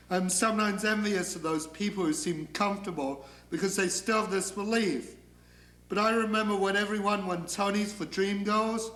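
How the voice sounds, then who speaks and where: A man's voice: sits high, a bit bright. A man acting a theatrical comic monologue as a neurotic, negative character, wry and confiding. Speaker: actor performing a monologue. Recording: echoey room.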